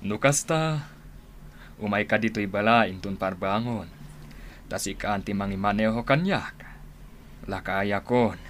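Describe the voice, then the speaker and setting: A man acting a kindly gentleman employer in an Ilocano radio drama, measured and courteous, with an Ilocano accent. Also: on the low side. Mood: calm, genial. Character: kindly gentleman employer offering a driving job. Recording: loud vehicle-like background noise.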